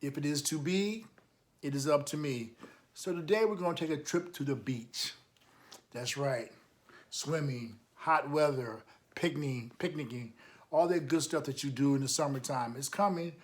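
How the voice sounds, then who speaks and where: A man's voice: a little high, normal speed. A man reading a picture book aloud for children, adding encouraging, emphatic asides and putting questions to his listeners. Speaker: storytime reader, coach.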